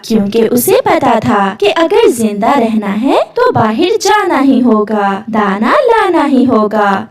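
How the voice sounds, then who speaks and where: A woman's voice: on the high side, darkish tone, recorded from a distance. A woman storyteller reading scripted narration for a children's cartoon tale.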